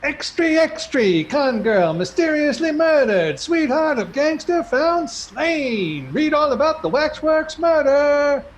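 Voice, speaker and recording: A woman playing a street newspaper crier in a radio drama, calling out lurid headlines in drawn-out cries. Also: high-pitched, very animated, slight background noise, heavily acted. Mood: urgent hawking. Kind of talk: old-time radio drama acting.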